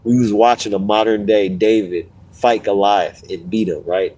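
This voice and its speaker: A man hosts a casual livestream and speaks straight to his viewers. His voice is warm and mellow, and he is a little stuffy from a cold. He tells stories in loops, repeating and restarting phrases as he goes. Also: fairly low pitch, steady tone, normal speed, low-quality recording. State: getting over a cold, sniffling. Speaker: podcast host.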